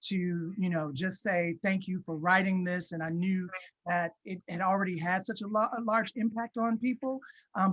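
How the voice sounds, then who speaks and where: A man's voice: high-pitched, sharp tone, lo-fi sound. An American man, a film director, answering interview questions in a conversational, anecdotal way.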